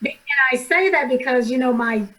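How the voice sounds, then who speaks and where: A middle-aged woman speaking with a high voice that is hoarse and a little worn. She joins a group call as a participant. She hesitates and doubles back as she frames her question. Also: moderate pace, pushed and bright, low-quality recording. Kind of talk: online study group call.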